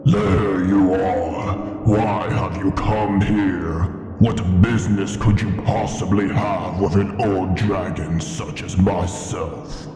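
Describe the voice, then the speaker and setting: An old, haughty dragon acted by a man holds forth in a deep voice, weighing each formal word slowly. The sound rings with long cavernous reverb, in an immersive fantasy ASMR roleplay style. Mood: menacing, suspicious. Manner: slow, imperious, formal and deliberate. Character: ancient proud male dragon in his cavern lair. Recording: echoing cavern reverb with music behind. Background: music.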